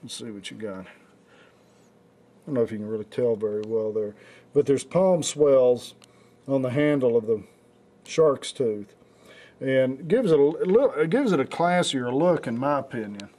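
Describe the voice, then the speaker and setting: Raspy guy with a flu-hoarse voice, rambling on about knives, lots of uhs. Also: medium pitch, a little slow, pauses often. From United States. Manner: rambling, relaxed, many fillers and restarts. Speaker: knife show host. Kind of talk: casual product demonstration.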